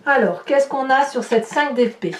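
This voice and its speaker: A woman's voice: high voice, expressive, moderate pace, background noise. A woman giving an informal tarot reading, talking directly to viewers and thinking aloud with rhetorical questions and little tag words. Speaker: tarot reader hosting a horoscope channel. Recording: roomy echo, distant microphone.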